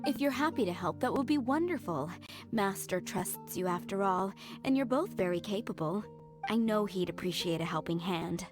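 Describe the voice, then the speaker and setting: A woman voicing a courteous, gentle winery maid in a video game, speaking in a measured, deferential manner. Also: medium pitch, animated, natural voice. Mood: grateful. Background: music.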